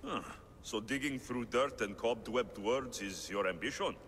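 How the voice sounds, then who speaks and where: Male actor as ancient warrior, sceptical, dry, curt, medium pitch, game cutscene, echoing stone chamber, faint noise. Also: measured pace, fairly bright tone, natural voice. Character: stoic, wry ancient Greek mercenary warrior. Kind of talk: video game cutscene acting.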